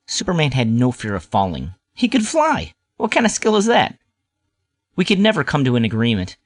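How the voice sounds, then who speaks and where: A man narrating a scripted, nostalgic first-person story as its storyteller. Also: medium pitch.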